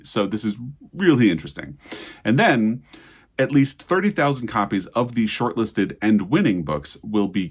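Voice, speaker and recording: A man's voice: deep voice, husky. A man hosting a video in a casual, chatty and enthusiastic way, commenting as he talks to the viewer. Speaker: host of a book news video channel.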